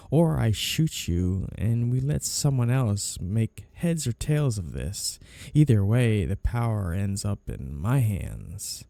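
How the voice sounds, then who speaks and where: A man playing a flirty, two-faced, smug mafia boss in a scripted roleplay audio drama, teasing and drawing out his phrases theatrically in a gravelly voice. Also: mid-range voice, warm tone, no room sound. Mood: coolly threatening.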